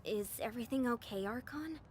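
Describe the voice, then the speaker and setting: A woman's voice: fairly high pitch, fairly quick, darkish tone, a little hiss or hum, close to an everyday voice. An actress voicing a caring, anxious young girl companion in a video game, sounding earnest and concerned. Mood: worried. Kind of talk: scripted video game cutscene acting. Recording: prominent music under dialogue.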